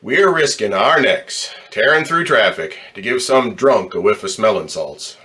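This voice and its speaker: A jaded, streetwise ambulance driver played by a man in an old-time radio drama. The voice is lowish and forceful, with a gruff, slangy, wisecracking delivery full of cynicism. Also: animated, measured pace. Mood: scornful, impatient.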